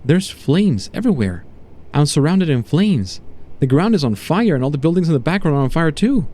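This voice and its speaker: A man playing an agitated condemned prisoner in a horror audio story, halting and emphatic as he unravels. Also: a little high, sing-song, mellow. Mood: alarmed, distressed. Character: condemned male prisoner unraveling from irritation into awed despair.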